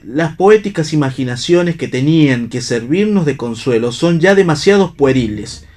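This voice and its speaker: A man's voice: a little high, animated, moderate pace, darkish tone, natural voice. A man acting a disillusioned, ironic husband in a radio play, speaking in a literary, argumentative way. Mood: impassioned protest. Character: cynical, pipe-smoking husband, disillusioned and philosophical unbeliever. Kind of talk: staged radio play reading.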